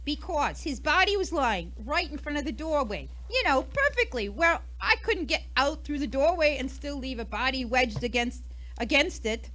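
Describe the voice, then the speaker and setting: A woman's voice: sits high, big pitch swings, moderate pace, fairly bright tone, poor audio. A woman playing a brash, defensive, indignant American murder suspect in an old-time radio mystery, with a snappy, huffy delivery. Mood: defensive, protesting.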